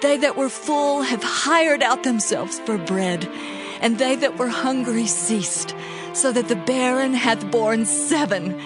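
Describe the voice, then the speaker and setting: A devout woman prays in a reverent, declamatory voice, fairly high and unhurried. Music plays clearly beneath this solemn, exultant scripture dramatization. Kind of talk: dramatized Bible reading.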